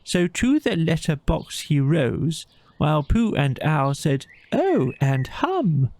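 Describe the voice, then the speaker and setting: A man voicing a gentle, thoughtful bear who fancies himself a poet, speaking hesitantly in a storybook audio play. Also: on the high side, very animated, slow pace, gravelly, pitched above the performer's own voice, exaggerated voice. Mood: reciting proudly. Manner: hesitant, thoughtful, with small ums. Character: gentle, musing bear of little brain, amateur poet.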